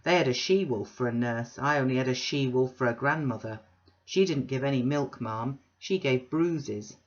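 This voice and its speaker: A woman voicing a blustering, boastful middle-aged businessman who talks loud and blunt. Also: extremely low pitch, normal speed. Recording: dull lo-fi recording.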